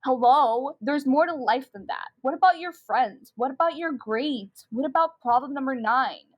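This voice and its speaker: A young girl performs a scheming schoolgirl in a comedic radio play. She speaks in a high voice, exasperated and intense, like someone confessing with pride. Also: normal speed, muffled recording. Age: child about twelve.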